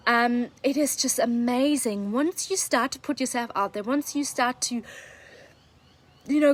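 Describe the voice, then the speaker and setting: Young woman, native German speaker, unhurried, fairly high voice, eager and earnest, lots of ums. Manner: earnest, enthusiastic, many fillers. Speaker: podcast coach. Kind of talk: live video monologue.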